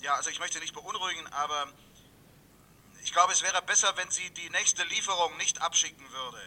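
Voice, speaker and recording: A man's voice: brisk, pushed and bright. An actor playing a hesitant, courteous, reserved businessman calling from abroad over a filtered telephone line in a German radio crime drama. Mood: concerned, polite. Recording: thin telephone line with rumbling noise.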